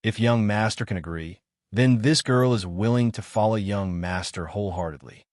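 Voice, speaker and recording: A man voicing a young slave girl of a nonhuman clan in a fantasy audiobook, formal, deferential and quietly confident. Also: fairly low pitch, moderate pace, no echo, clean studio sound, natural voice. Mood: earnest, confident.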